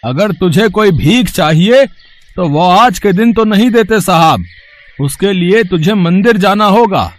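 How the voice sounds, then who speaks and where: An actor playing a scornful gatekeeper in a moral story cartoon, brusque, hectoring and dismissive. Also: male voice, high voice, expressive, a little slow. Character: gruff, scornful male gatekeeper of rich man's house.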